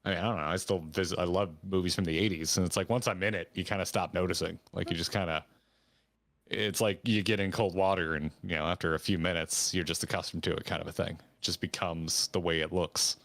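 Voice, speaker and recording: A man's voice: deep voice, a bit bright, no echo. A man co-hosting a gaming stream, giving casual, conversational reaction commentary with enthusiasm for small details.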